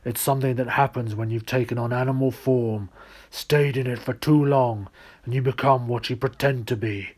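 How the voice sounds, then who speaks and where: A man voicing a giant bear god, gruff, indignant and grave, with a throaty growl, in a storybook reading. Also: mid-range voice, steady tone, moderate pace, a little mellow, close to an everyday voice.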